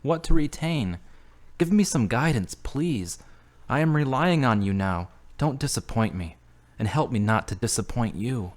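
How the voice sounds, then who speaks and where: A man playing an earnest, moody man returned to his faith, measured and subdued, in an audio drama. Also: medium pitch, expressive, close to an everyday voice. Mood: earnest, prayerful. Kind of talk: hobby audio drama acting.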